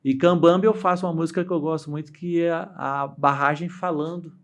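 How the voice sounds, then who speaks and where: A middle-aged man from Brazil with a Brazilian Portuguese accent, telling stories as an interview guest in a halting, restart-heavy way, voicing the people he quotes. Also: fairly high pitch, steady tone. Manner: halting, with many restarts and fillers; acts out quoted voices while telling stories. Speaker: interview guest, dam engineer. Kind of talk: casual storytelling interview.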